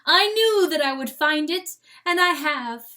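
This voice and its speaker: A woman voicing a carefree, gentle and delighted female coyote in a dramatic storybook reading. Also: sits high, animated, unhurried, pitched above the performer's own voice, heavily acted. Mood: exultant. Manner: breathless, exultant, laughing.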